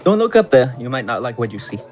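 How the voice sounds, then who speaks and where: A man's voice: mid-range voice, big pitch swings, fast talker, a little mellow, natural voice. A man playing a teasing, worldly bard who loves taverns in a tabletop fantasy game, with a playful, inviting manner. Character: male bard, worldly tavern-going carouser, teasing and eager. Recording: music under the voice.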